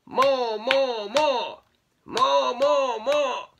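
A woman voicing excitable, teasing cats in a storybook read-aloud, squealing and exclaiming. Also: high voice, drawn-out words, vocal fry, background noise, voice pushed up, exaggerated voice. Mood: demanding, excited. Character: crowd of excitable, teasing, loud cartoonish cats.

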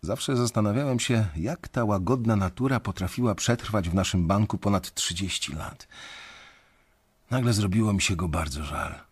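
An actor playing an anxious young banker who narrates his own story in a confiding, measured, dramatic way in an audio drama. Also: lowish voice, breathy edge. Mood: reflective, uneasy. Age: young adult.

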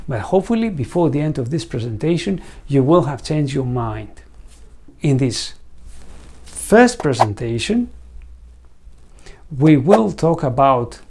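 A man's voice: a little high, darkish tone, a little hiss or hum. A man in his forties with a Greek accent, a veterinarian presenting a scripted lecture in an earnest storytelling manner and putting questions to the viewer, on a lapel mic in a studio. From Greece. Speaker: veterinarian and site founder. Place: studio set against a green screen.

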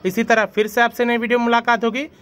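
A man's voice: very high voice, level tone, fast talker, fairly bright tone. A young man hosting a plumbing channel, explaining a pipe fitting in a friendly voice-over and often calling viewers his friends. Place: voice-over over animation.

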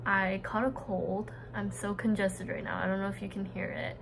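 A woman's voice: mid-range voice, level tone, moderate pace, gravelly, fairly bright tone, a little hiss or hum. A young woman, a college student, chatting casually to her camera in an informal vlog style, sprinkling in "like" as she goes. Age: young adult. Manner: informal, giggly, frequent filler "like". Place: dorm room and outdoor paths. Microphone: phone or camera at arm's length.

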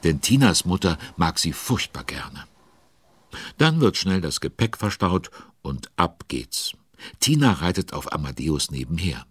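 A man's voice: low-pitched, big pitch swings, a little slow, slightly rough, no echo, heavily acted. A man playing a chatty, curious narrator in a children's radio play. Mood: lively, confiding. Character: chatty, curious male storyteller commenting on the action.

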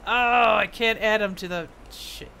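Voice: mid-range voice, a little slow, creaky, bright, forceful tone, a little hiss or hum. A game streamer chatting casually and thinking aloud while playing. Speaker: game streamer reading quest dialogue aloud. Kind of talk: casual gaming stream with read-aloud dialogue.

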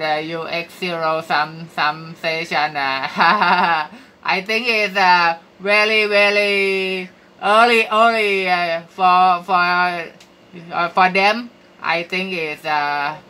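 Bright, clear-voiced Thai man on ham radio. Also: high-pitched. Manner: halting, repeats phrases. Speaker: amateur radio operator. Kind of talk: casual ham radio contact. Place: small indoor radio room. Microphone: headset.

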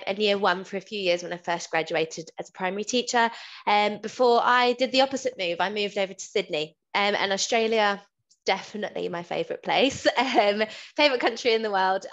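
A woman's voice: mid-range voice, fairly bright tone, dull audio. A woman recruiter introducing herself on a webinar, cheerful and giggly with filler ums. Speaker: early childhood recruiter. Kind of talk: casual self-introduction.

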